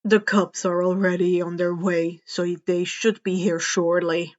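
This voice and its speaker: A woman voicing a gruff, stern male hero mentor in a read-aloud story, in a muffled recording. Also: on the low side, little pitch movement, unhurried, darkish tone, voice pushed down, natural voice. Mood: gruff approval. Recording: dull, muffled lo-fi home recording.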